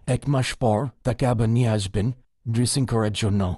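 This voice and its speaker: Husky, mellow man playing a cartoon doctor. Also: mid-range voice, moderate pace, no room sound, clean studio sound, natural voice. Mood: calm, advising. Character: calm adult male doctor advising a patient's family. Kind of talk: cartoon dialogue.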